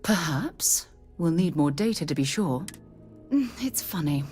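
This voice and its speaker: An actress playing a formal, precise female scientist who is fascinated by the unknown and speaks in measured tones, with faint game music behind. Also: low voice, very animated, a little mellow, slightly rough, heavily acted. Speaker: scientist companion. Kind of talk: video game voice acting.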